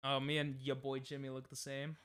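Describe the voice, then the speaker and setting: A young man with a New York accent, streaming a game and chatting casually with friends, joking and getting excitable, often trailing off mid-sentence. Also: mid-range voice, brisk, smooth, no echo, studio quality. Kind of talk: casual gaming stream chat.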